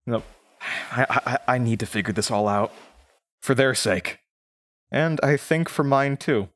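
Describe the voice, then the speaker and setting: A man acting as an earnest, reflective radio show host in an audio drama, speaking in a measured way with pauses. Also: medium pitch, fairly bright tone, dry recording, clean studio sound, natural voice. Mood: grieving, resolved.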